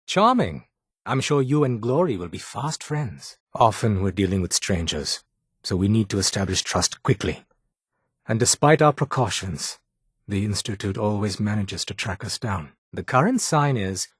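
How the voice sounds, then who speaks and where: A man's voice: on the low side, husky, no room sound. A man playing a brusque, haughty underground doctor in a video game, with a British accent and a dry, sardonic, formal manner. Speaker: medic in a secret resistance group. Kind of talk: video game character voice acting.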